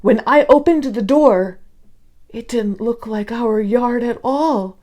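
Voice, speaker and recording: A woman's voice: on the high side, takes it slowly, a little mellow. A woman voicing a wide-eyed, imaginative young child telling a storybook adventure. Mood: amazed. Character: young child narrator full of wonder and imagination.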